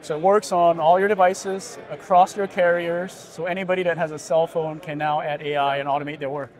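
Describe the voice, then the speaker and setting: A middle-aged man giving an assured, agreeable and well-structured conversational pitch as an interview guest. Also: flat delivery, fairly quick. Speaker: startup founder being interviewed. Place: busy indoor expo hall booth.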